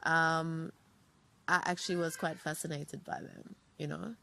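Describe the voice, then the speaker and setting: A woman in her thirties from Zambia speaks in a low register with a gravelly, fry-edged texture. She is a guest in a relaxed interview, reflective, and strings long lists of names together as she remembers. Her delivery stays unhurried and conversational. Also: slight background noise. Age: 30s. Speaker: interview guest, writer and producer.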